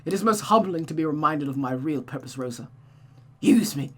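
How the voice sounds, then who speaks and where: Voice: medium pitch, animated, brisk, lightly acted. A performer voicing a sadistic, deranged man, cynical and mocking, with taunting, theatrical delivery in a visual novel. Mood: mocking, self-abasing.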